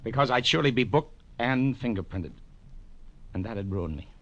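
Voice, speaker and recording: A man playing a nervous, pleading accountant, earnest and halting, in 1940s radio drama style. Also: mid-range voice, animated, a bit bright, highs cut off. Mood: anxious, pleading. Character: anxious, pleading male accountant in serious trouble.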